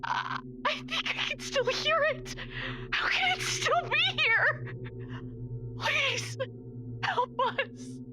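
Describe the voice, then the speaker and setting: Very high-pitched terrified pleading woman. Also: expressive, full character voice. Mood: terrified despair. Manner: pleading, halting, tearful. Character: terrified, desperate woman survivor begging for help. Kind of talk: audio drama acting. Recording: music behind the voice. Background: music.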